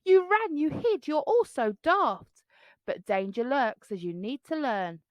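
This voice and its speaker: A cheeky, boastful little boy dinosaur from a storybook, played by a woman reader. The voice is high and swings up into big, shouty swoops. It has a teasing edge and sits dry and close. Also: sing-song, unhurried, no echo. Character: cheeky young boy dinosaur crying false alarms.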